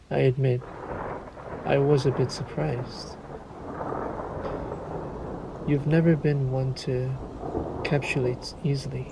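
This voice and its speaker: A man playing a sadistic, courtly vampire lord in a roleplay audio drama, suave and menacing, with formal, drawn-out politeness. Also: medium pitch, gravelly, noisy background. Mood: gloating courtesy. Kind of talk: scripted roleplay audio drama, addressed to listener.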